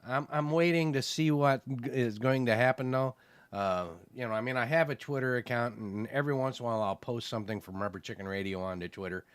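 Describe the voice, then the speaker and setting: Podcast co-host, male, casual and rambling, middle pitch, dry close sound. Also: fast talker, clear, resonant tone, no room sound. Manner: rambling, many fillers like "you know". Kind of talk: casual chat.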